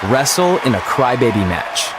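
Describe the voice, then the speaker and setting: A man's voice: mid-range voice, sing-song, normal speed, heavy background noise, natural voice. A man playing an earnest, good-natured young indie wrestler in a video game cutscene, sincere and a little sheepish. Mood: reminiscing.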